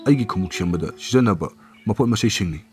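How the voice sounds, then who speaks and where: A man's voice: lowish voice, animated, racing, a little mellow, natural voice. A man voicing a humble but crafty village cobbler in a storybook tale, with a persuasive way of talking. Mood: pleading, sly. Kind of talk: storybook character acting. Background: music.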